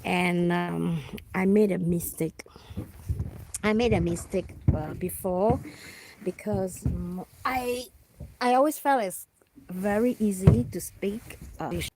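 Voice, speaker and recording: A woman with a Singaporean accent chats on a relaxed outdoor live stream by the water. She speaks slowly and haltingly, often pausing on fillers and starting sentences over. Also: mid-range voice, background noise. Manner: chatty, halting, many fillers and restarts. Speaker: vlogger. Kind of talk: casual live-stream chat while fishing.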